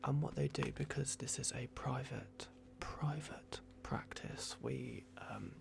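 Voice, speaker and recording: A man in an ASMR roleplay, playing a polite, flustered vampire at a clinic in a hushed, hesitant, apologetic way. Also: mid-range voice, slow pace, gravelly.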